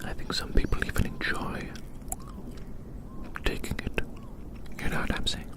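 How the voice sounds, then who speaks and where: Asmr person whispering outside, rambly theatrical roleplay, keeps repeating phrases, all breath no voice. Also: normal speed. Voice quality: soft unvoiced whisper. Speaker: ASMR performer, host. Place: outdoors in a large open field.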